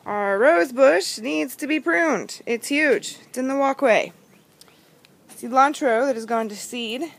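A woman's voice: high voice, slow pace, vocal fry. A young woman giving a chatty, upbeat walking tour of her backyard garden, recorded on a handheld phone outdoors. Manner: upbeat, chatty, pointing things out. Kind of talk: casual walking tour narration. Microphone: phone camera held in hand. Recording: outdoor phone audio with background noise, hand over mic at times.

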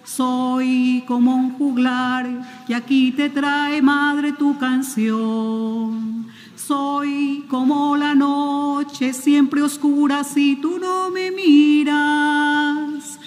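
A woman cantor slowly singing a Marian hymn during a Mass broadcast. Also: high-pitched, monotone, long reverb tail, soft background music.

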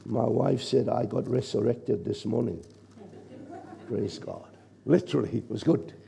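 Croaky older man preaching slowly. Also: medium pitch, dark tone, a little hiss or hum. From New Zealand. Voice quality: gravelly. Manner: conversational preaching, checks in with the congregation. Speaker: pastor. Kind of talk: sermon. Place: church stage, large indoor hall. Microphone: stage mic at a lectern, far camera.

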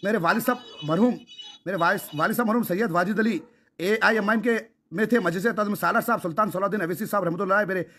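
A man with a Hyderabadi accent speaks in a high voice at a rapid pace. He is emphatic and insistent, repeating phrases as he persuades someone over a recorded phone call. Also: sharp tone. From Hyderabad, India. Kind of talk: phone conversation, impassioned persuading.

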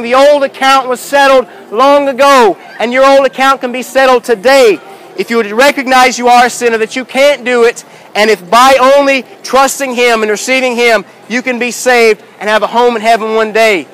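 A man in his thirties preaching outdoors in a busy city square, shouting with emphatic, repetitive rhetorical questions. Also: very high voice, fairly even intonation, moderate pace, clean tone, rough recording. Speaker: street preacher. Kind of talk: open-air sermon. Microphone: far handheld camera, no mic.

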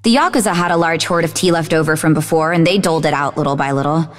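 An actress playing a sarcastic, sharp-witted young woman psychic who is easily exasperated, in a video game. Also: low voice, fast talker, long reverb tail, faint music behind, lightly acted. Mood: reflective. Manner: sarcastic, teasing.

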